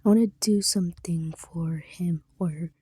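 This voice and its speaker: A woman's voice: fairly low pitch, normal speed, breathy edge, no room sound. A young woman chatting casually while she draws, often half-whispering and putting herself down. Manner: soft, often whispering, self-deprecating. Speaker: drawing video creator. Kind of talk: casual chat while drawing.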